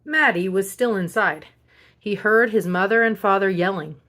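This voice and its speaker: A woman reading a picture book aloud as a storyteller, in an expressive children's narration style. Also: medium pitch, normal speed. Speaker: storybook reader.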